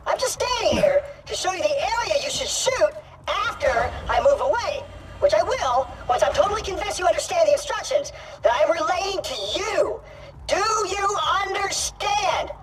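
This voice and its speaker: Shrill, chattering little robot. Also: male voice, lively intonation, normal speed, distant mic, heavy background noise. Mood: bossy, rambling. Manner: chatty, rambling, bossy. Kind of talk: video game character acting. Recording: loud music behind the voice.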